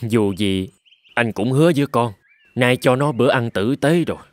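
An actor playing a poor, gentle peasant father, polite and earnest, in an animated folk tale. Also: medium pitch, moderate pace, no room sound, lightly acted. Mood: worried, tender.

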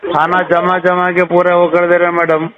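A man's voice: sits high, completely flat delivery, normal speed, a crowd in the background. A man with a Hyderabadi accent rambling through exasperated, repetitive complaints over the telephone. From Hyderabad Old City, India. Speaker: called customer. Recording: noisy narrowband phone call recording.

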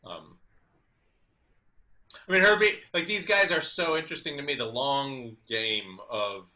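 A man in his thirties hosting a casual interview over a video call from his kitchen, asking rambling, animated questions. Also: medium pitch, unhurried. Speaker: host. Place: home kitchen. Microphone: video call webcam.

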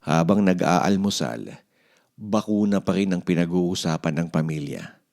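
A man's voice: low-pitched, measured pace, darkish tone. A man narrating a scripted children's story as a read-aloud.

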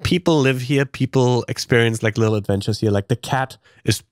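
A man's voice: medium pitch, brisk, no echo, clean studio sound. A man on a podcast talking casually about film, filling his explanations with "like" and "you know". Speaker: podcast panelist.

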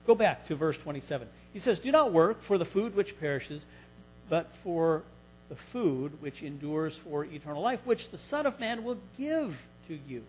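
A man in his fifties preaching a sermon in a church hall, teaching earnestly and getting louder when he reads scripture. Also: on the high side, very animated, normal speed, a little hiss or hum. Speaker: pastor. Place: church hall stage, indoors.

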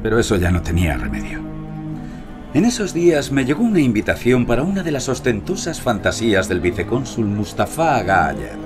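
An actor playing a shrewd archaeologist working undercover, in a scripted audio drama. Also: male voice, mid-range voice, sing-song, brisk, natural voice. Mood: brooding, calculating. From Germany. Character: shrewd, haggling German archaeologist undercover as beer exporter. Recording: music behind the voice.

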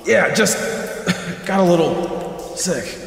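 A man's voice: sits high, animated, takes it slowly, creaky, full character voice. A young man acting an anxious, battered superhero in an audio drama, earnest and breathless as he narrates his ordeal. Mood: strained. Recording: very echoey hall-like reverb, music behind.

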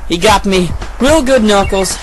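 A woman playing a young male hedgehog hero in an amateur radio play, strained and halting. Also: mid-range voice, slight background noise, lightly acted. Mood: weak, hurt. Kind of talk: amateur audio drama acting.

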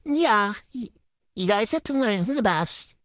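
A woman's voice: mid-range voice, animated, unhurried, no room sound, natural voice. Woman doing a bratty ditzy noob gymnast. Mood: bossy. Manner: valley-girl drawl, silly and boastful. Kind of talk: improvised game roleplay voiceover.

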